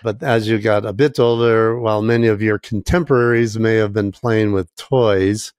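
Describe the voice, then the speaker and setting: A man hosting a podcast interview, asking measured, prepared questions. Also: on the low side, steady tone, a little mellow, no echo, clean studio sound. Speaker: podcast host.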